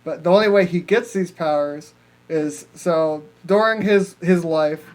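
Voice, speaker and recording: High-voiced guy, crude and casual. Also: a little slow. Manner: crude, slangy, heavy swearing. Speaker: podcast co-host. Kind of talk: casual profane chat pitching story ideas.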